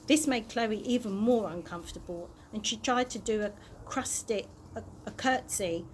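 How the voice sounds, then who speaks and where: A woman's voice: fairly high pitch, slight background noise, low-quality recording. A woman reading a children's story aloud expressively, occasionally stumbling over words and correcting herself. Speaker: early years practitioner reading aloud.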